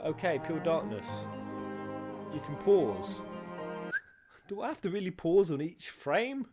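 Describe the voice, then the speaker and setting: Gamer guy chatting casually over game music, slow paced but really lively swings in his voice. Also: fairly high pitch. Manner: playful, animated, with crude humour. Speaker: gaming YouTuber. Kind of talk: casual reaction commentary on a video game.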